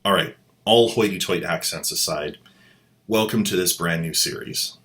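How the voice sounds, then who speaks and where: Low-voiced man, sarcastic host roasting an old drama. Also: normal speed, a bit bright, soft background music. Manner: sardonic, mocking, playful asides. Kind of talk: sarcastic commentary.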